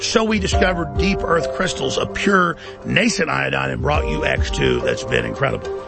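Male ad announcer, gravelly and animated, dramatic hard sell, music under it. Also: moderate pace. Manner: intense, dramatic sales pitch. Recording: ad read over loud music.

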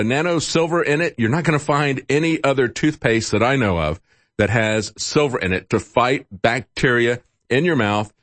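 A man hosting a talk radio show, reading product offers briskly and then giving earnest opinions to a guest. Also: medium pitch, dry recording.

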